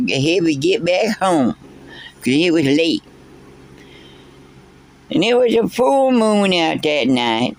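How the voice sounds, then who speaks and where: A drawling old backwoods codger, as a man puts him on. He talks slow and a touch high, meandering through his yarn and stumbling over the same words again and again. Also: exaggerated voice. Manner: rambling, folksy, stumbling over repeated words. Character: old backwoods man spinning a tall tale. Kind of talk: character storytelling.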